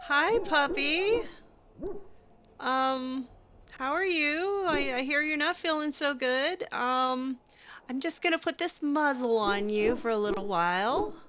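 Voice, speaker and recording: Slow, high-voiced, bright woman roleplaying. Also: animated, a little hiss or hum. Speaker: roleplay gamer voicing her character. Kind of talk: improvised in-character game roleplay.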